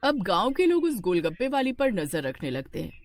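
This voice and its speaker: A woman's voice: mid-range voice, big pitch swings, moderate pace. A woman narrating a scripted horror story for a cartoon.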